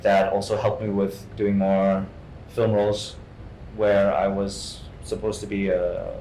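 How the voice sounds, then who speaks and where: Male guest actor from Hong Kong, low voice, halting and repetitive in informal talk, roomy echo. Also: little pitch movement, warm tone. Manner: halting, repeats words. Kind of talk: informal artist talk, answering questions. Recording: echoey room, faint rumbling noise.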